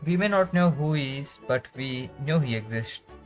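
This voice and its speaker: A man's voice: medium pitch, expressive, moderate pace, soft background music. A man with an Indian accent doing casual live game commentary and reading dialogue aloud, in a grumbling manner. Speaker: live streamer.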